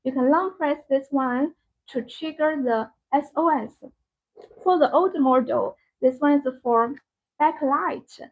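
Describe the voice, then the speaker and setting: A woman hosting a live product demonstration, speaking in halting, repetitive, non-native phrasing. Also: high-pitched. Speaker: live-stream sales host demonstrating a product. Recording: dull, band-limited live-stream audio.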